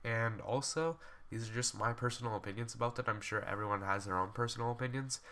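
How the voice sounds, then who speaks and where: A man's voice: mid-range voice, fast talker, pushed and bright. A young man with a cold, sounding congested, casually reviewing computer mice and rambling with fillers and restarts. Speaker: tech reviewer. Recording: faint background noise, poor quality capture.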